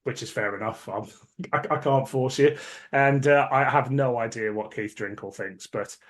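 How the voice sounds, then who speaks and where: A man's voice: medium pitch, moderate pace. Man chatting as podcast host. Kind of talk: casual podcast chat.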